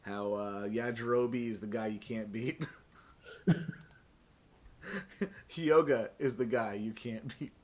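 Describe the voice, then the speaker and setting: A man's voice: lowish voice, steady tone, slow, pauses often. A man casually running a tabletop role-playing game as game master, joking and swearing freely. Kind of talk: casual, joking live-streamed game narration. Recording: clear background noise, keyboard and mouse clicks.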